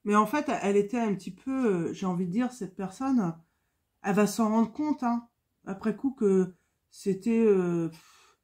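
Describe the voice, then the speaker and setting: A woman's voice: mid-range voice, dark tone. A woman with a cold, giving a casual spoken tarot reading with many fillers and repetitions. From France. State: has a cold. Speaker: tarot card reader.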